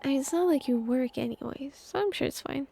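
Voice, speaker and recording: A woman's voice: high voice, animated, fairly quick, vocal fry, dark tone. A woman giving casual commentary as she streams a video game. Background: game music.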